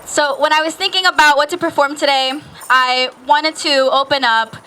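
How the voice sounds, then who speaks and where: A woman's voice: high voice, steady tone, moderate pace, very noisy. A woman artist from Newark speaking earnestly to a crowd at an outdoor rally. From Newark, New Jersey. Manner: earnest, warm-hearted address to a crowd.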